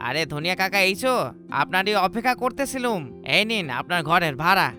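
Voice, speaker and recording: A man's voice: high voice, expressive, a little fast, pushed and bright, soft background music, heavily acted. A man voicing a cheeky, cocky young male thief in a cartoon. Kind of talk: Bengali cartoon voice acting.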